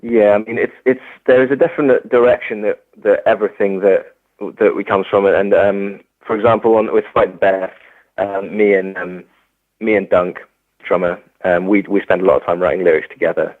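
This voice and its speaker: Young english guy, low voice, lots of ums, sounds like a tinny phone line, dry. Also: fairly even intonation, normal speed, no room sound. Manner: hesitant, many fillers and restarts. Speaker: musician guest. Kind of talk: casual interview.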